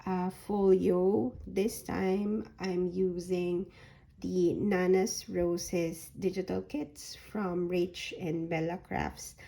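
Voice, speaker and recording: A woman with a hoarse voice that is recovering from a sore throat, showing a handmade journal folio in a soft, unhurried way with frequent ums. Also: lowish voice, level tone, rough recording. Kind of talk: slow, casual craft flip-through.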